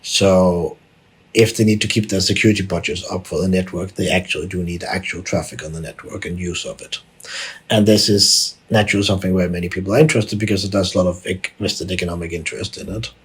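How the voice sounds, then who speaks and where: A man from Denmark with a very low voice and a strongly husky, rough texture. He talks as a podcast guest in an unscripted, conversational way. He stumbles through frequent restarts and repeated words. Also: fairly even intonation, fast talker. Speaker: podcast guest, tech executive. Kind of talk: conversational interview answers.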